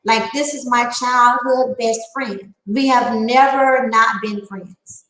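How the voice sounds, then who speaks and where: A woman's voice: fairly high pitch, fairly even intonation, slow pace, a bit bright, echoey room, rough recording. A woman nearing fifty giving a candid opinion monologue, emphatic and repeating her phrases for effect. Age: late 40s. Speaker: online panel talker.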